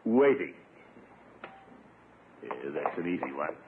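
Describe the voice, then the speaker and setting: An actor playing a blustering, jealous middle-aged bachelor in a 1950s radio sitcom, pompous and grumbling with theatrical exasperation. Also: male voice, extremely low pitch, very animated, slow, heavily acted. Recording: tinny, noisy 1950s radio recording.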